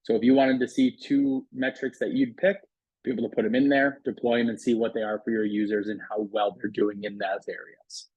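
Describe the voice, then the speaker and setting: A man talks through a software demo in a conversational, explanatory way. His mid-pitched voice is a little husky, and the close recording is very dry. The delivery is casual sales patter. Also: little pitch movement, brisk, dry recording, highs cut off. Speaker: software product demonstrator. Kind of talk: casual product demo walkthrough voice-over.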